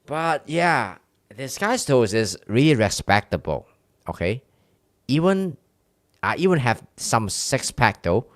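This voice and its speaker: A man's voice: on the low side, no echo, clean studio sound. A man with a Chinese accent, a streamer chatting casually with his viewers, rambling and candid with many restarts. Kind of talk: casual live-stream chat with chat.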